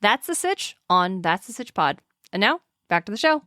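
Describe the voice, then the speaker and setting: A woman's voice: mid-range voice, sing-song, moderate pace. A woman hosting a podcast in casual, chatty banter, with an informal, wry way of talking. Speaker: podcast host.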